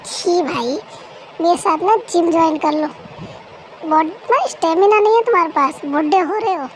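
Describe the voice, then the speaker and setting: A woman's voice: very high-pitched, normal speed, a little hiss or hum, poor audio. A woman voicing a cheeky young brother-in-law who teases and plays mock-offended, in an amateur fan dub. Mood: teasing.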